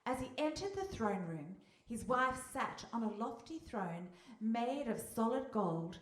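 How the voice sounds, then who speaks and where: A woman's voice: a little high, big pitch swings, unhurried. A woman narrating a scripted story for a live radio play. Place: hall, live stage performance. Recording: distant mic, echoey hall, faint noise.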